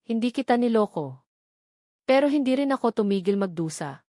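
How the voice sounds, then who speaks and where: A woman's voice: on the high side, animated, moderate pace, dark tone, no echo, clean studio sound, natural voice. A woman voicing a remorseful young father in a love story, pleading earnestly with emphatic repetition. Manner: pleading, emphatic repeated phrases. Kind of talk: dramatic audio love story.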